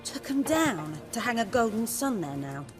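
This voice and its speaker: A woman's voice: a little high, very animated, moderate pace. A woman playing a bitter, grieving villager in a fantasy game, coarse and accusing. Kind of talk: video game dramatic acting. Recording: music behind.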